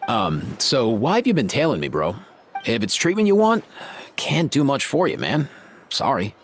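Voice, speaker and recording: A man's voice: mid-range voice, huge pitch swings, normal speed, slight background noise. A man voicing a laid-back, slangy young guy in a video game, breezy and casual. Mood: casual, puzzled. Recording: background music under the voice.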